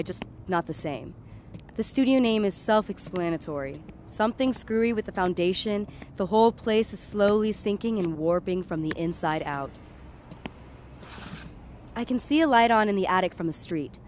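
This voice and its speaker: A woman's voice: mid-range voice, slight background noise, close to an everyday voice. An actress playing a panicky young woman on acid, telling her horror story in the first person in an urgent, confiding way. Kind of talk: first-person present-tense horror narration, dramatic.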